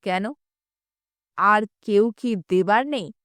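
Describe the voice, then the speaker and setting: A defensive middle-aged shopkeeper and estranged husband acted by a woman reading a story. He speaks slowly, in a grave, curt and quarrelsome manner. The recording is dry and close. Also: mid-range voice, fairly bright tone, no echo. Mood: defensive, sarcastic.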